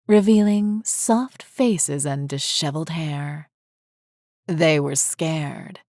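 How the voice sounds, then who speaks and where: A woman's voice: deep voice, a little mellow, no room sound, studio quality. A woman reading a scripted horror story as its narrator, with music behind her. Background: faint music.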